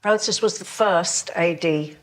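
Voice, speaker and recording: A woman telling a comic story in a deliberate way as a guest on a panel show. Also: lowish voice, low-quality recording.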